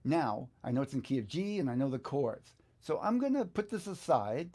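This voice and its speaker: A man's voice: medium pitch, very animated, moderate pace, dark tone. A middle-aged man hosting an informal step-by-step lesson, thinking aloud in a conversational way as he instructs. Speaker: guitar instructor, video host. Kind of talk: informal step-by-step screen tutorial.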